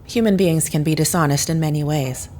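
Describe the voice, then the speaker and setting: Actress for formal, controlled investigator woman in audio drama. Deep female register, careful wording, slight background noise. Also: a little mellow, natural voice. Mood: serious, probing. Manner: measured, formal, exact.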